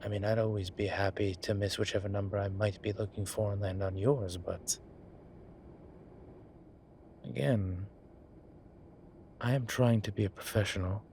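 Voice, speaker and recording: A man voicing a flirtatious demon professor who keeps up a genteel, professional front, speaking softly and often in a whisper. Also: fairly low pitch, fairly even intonation, a little hiss or hum, lightly acted. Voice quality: soft, often whispered, unhurried. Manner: teasing, measured, genteel. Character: flirtatious male demon professor, composed, teasing. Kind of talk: audio roleplay.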